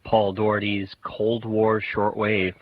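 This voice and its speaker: Lowish-voiced male radio interviewer, slightly muffled. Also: level tone, takes it slowly. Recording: dull, slightly noisy radio recording.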